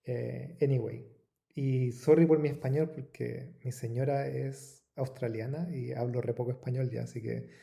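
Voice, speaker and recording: A man speaks to an online audience over a video call. He talks in a relaxed, chatty way and mixes in English words. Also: medium pitch, moderate pace, vocal fry. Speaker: guest speaker, physicist. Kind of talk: casual talk to an online audience.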